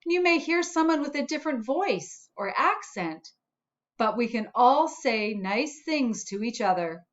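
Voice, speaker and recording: Lady reading as a cheerful helpful spot from a kids book, kinda high, nice and slow, encouraging. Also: animated, muffled recording. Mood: upbeat, encouraging. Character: friendly encouraging storybook spot, cheerful helper for children. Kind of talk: children's storybook narration.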